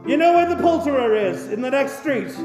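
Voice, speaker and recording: A man's voice: very high voice, expressive, a little fast. A man playing an old miser reformed into giddy generosity in a theatrical radio play, exclaiming and repeating his words. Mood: overjoyed. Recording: echoey reverberant hall sound, music underneath. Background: music.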